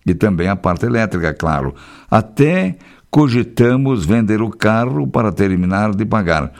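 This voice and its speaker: A man with a somewhat low voice reads regional news on the radio. He breaks into casual, folksy remarks between stories. His delivery mixes read copy with easygoing chat. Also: lively intonation, moderate pace, gravelly, darkish tone. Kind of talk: reading local news with casual asides.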